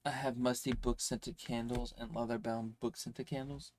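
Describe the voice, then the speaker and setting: A man's voice: medium pitch, level tone, normal speed, a little mellow, a little hiss or hum. Nasal man with a slight cold. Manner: rambling, filler-heavy, swears freely. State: slight cold, stuffy nose. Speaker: streamer answering viewer questions. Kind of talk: casual chat.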